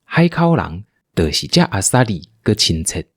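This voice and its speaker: A man's voice: lowish voice, very animated, normal speed, a bit bright, breathy edge, no room sound, clean studio sound. A man with a Taiwanese accent narrating a scripted audio drama as a storyteller.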